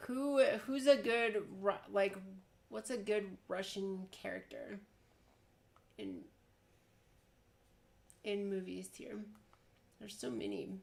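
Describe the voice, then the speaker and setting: A woman's voice: medium pitch, lively intonation, slow pace, vocal fry, long pauses. A woman streamer in casual chat, rambling and swearing freely. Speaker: streamer host. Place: hot tub.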